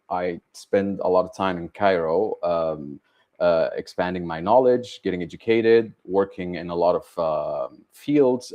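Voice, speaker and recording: Deep-voiced Egyptian man, hesitant speech. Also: darkish tone. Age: 30s. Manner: hesitant, many ums and uhs. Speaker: guest, tech startup co-founder. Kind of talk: casual interview answers.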